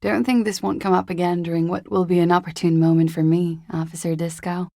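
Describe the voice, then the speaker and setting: Casting: a secretive young female disco dancer in a game, coy and understated, very gravelly fry, close dry sound. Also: lowish voice, little pitch movement, fairly quick, mellow, no room sound. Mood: wry, teasing. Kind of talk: video game voice acting.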